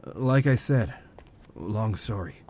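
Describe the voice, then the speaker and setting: A man playing a young neighbourhood tough in an audio drama, casual, halting and evasive. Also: on the low side, expressive, a little mellow, a little hiss or hum. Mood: embarrassed, evasive.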